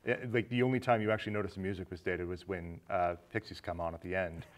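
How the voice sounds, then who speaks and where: A man's voice: low voice, steady tone, fast talker. A man in his thirties hosting a casual film discussion in a small studio. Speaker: talk show host. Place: small indoor studio set with curtains.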